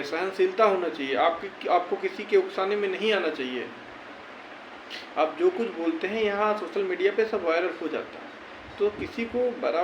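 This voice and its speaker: Male online explainer, high voice, informal and reassuring, repeats points; dull, lo-fi recording. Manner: repetitive, reassuring, advising. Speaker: YouTuber giving recruitment court-case updates. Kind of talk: informal explanatory update to viewers. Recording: roomy, dull audio with faint noise.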